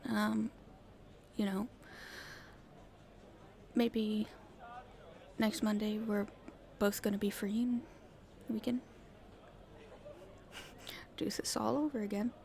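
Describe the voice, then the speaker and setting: A woman's voice: mid-range voice, little pitch movement, slow, vocal fry, pauses often, dark tone. A woman playing a casual, friendly young street urchin girl in an audio roleplay, speaking hesitantly and informally. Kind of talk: roleplay ASMR acting.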